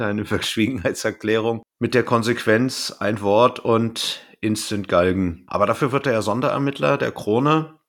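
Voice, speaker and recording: A man's voice: medium pitch, a bit bright. A man co-hosting a podcast, casually summing up and commenting on a story. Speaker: podcast co-host. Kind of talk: casual discussion and retelling of an audio drama.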